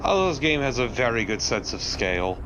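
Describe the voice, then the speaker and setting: A man's voice: medium pitch, very animated, brisk. Man chatting over a noisy background. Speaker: co-commentator. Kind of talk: casual chat over gameplay.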